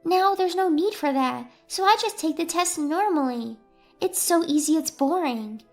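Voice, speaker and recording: A woman plays a gifted young schoolboy in a narrated story. He is high-voiced, smug and bored by how easy everything is, with faint music behind him. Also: animated, normal speed. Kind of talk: storybook character dialogue.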